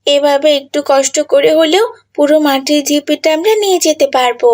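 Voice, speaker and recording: A woman voicing an excitable, bossy little girl in a children's cartoon, chatty and expressive. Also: sits high, normal speed, close to an everyday voice. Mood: annoyed, bossy.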